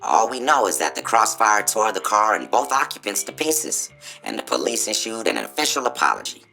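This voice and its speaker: A man's voice: fairly low pitch, very animated, fairly quick, creaky, music in the background, rough recording. A man playing a folksy, boastful cowboy robot spokesbot in a video game, with a showy American cowboy drawl. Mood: boastful storytelling. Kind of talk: theatrical Old West tall-tale patter.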